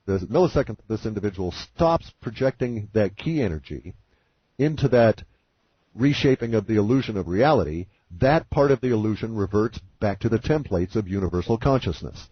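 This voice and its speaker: A man giving a long reflective monologue as a guest on a conference call, speaking in a deliberate, halting way with formal, wordy phrasing. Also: on the low side, slightly rough. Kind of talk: long reflective spoken monologue on spiritual ideas. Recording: muffled, roomy conference call audio.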